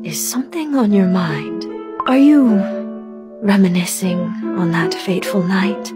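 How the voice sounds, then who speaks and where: A woman playing a devoted, deferential attendant to a dark sorceress in a game, formal and solicitous. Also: medium pitch, measured pace, close to an everyday voice. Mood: deferential, concerned. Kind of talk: game character voice acting. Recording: loud music under the voice.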